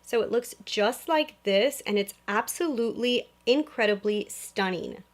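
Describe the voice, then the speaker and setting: A woman's voice: on the high side, a little slow. A young woman hosting an upbeat makeup review vlog, chatty and gushing, her voice a little nasal from a cold. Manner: chatty and gushing, lots of "you guys". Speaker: beauty vlogger.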